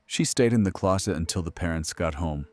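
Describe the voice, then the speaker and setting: An actor playing a wry, deadpan, sardonic host of a late-night horror radio show. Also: male voice, deep voice, brisk. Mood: dark, storytelling. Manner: deadpan, sarcastic.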